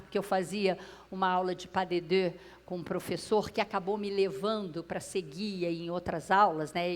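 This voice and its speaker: A Brazilian woman speaks on stage through a clip-on mic, in a Brazilian Portuguese accent. She tells her story to a live audience and often turns to them directly. Her voice sits at a middle pitch for a woman. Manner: lively storyteller who addresses the audience directly. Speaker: speaker at a public talk. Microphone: clip-on microphone.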